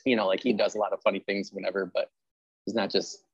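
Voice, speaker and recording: A man's voice: low voice, little pitch movement, fast, vocal fry, husky, no echo, lo-fi sound. A man hosting a casual interview, chatting in an agreeable, rambling way with lots of filler words. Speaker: podcast host interviewing a writer.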